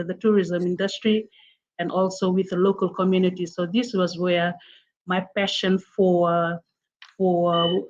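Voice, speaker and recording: Halting Fijian woman on a lo-fi call. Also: on the low side. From Fiji. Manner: halting, many "uh" and "um" pauses. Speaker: interview guest. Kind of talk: casual interview. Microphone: video call. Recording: dull lo-fi online call audio.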